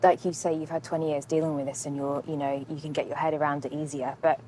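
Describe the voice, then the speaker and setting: A woman's voice: deep voice, flat delivery, fast talker, mellow, slight background noise, low-quality recording. A woman interviewer chatting casually, putting her questions in a tentative, sympathetic way.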